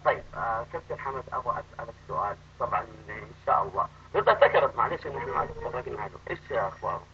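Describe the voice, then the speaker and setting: A man hosting a live chat-room interview, asking questions eagerly and quickly. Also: medium pitch, animated, pushed and bright. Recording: dull lo-fi audio with faint noise.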